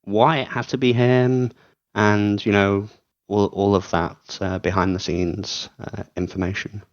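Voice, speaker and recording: Male host, lowish voice, casual and chatty, muffled lo-fi sound. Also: little pitch movement, unhurried. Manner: casual, many fillers, asks long questions. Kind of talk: interview hosting. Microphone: remote voice chat. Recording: dull lo-fi audio.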